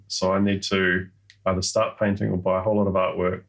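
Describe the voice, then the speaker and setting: A middle-aged New man co-hosting a podcast, with a raspy voice and a dry, joking, sarcastic manner. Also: deep voice, level tone, fairly quick, fairly bright tone. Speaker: podcast co-host. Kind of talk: casual chat.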